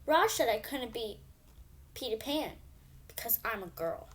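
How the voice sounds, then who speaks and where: A woman's voice: on the high side, very animated, measured pace, fairly bright tone. A woman voicing an eager, determined young girl in a children's story, speaking earnestly. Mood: hurt.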